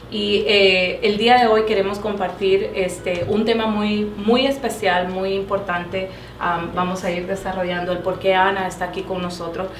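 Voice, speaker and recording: A woman's voice: medium pitch, recorded from a distance. Woman host with echoey faraway sound. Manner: warm pastoral phrasing with fillers. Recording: echoey room, background noise.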